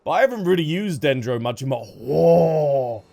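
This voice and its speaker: Chatty male gamer, very lively pitch. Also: normal speed, vocal fry. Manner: reactive, exclamatory, chatty. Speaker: gaming content creator. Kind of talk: casual playthrough commentary. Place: game audio and music behind voice.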